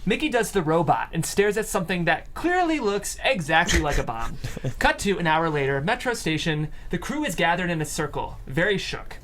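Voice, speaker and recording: A narrator reading stage directions aloud at a comedy table read in an amused, animated way. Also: mid-range voice, moderate pace, a little hiss or hum.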